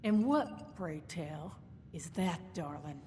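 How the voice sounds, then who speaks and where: An actress playing a proud, shrewd caravan company boss in a video game, with a folksy, sardonic, wordy way of talking. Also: female voice, sits low, lively intonation, slow pace, mellow, long reverb tail, slight background noise. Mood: sardonic, indignant. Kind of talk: video game character dialogue.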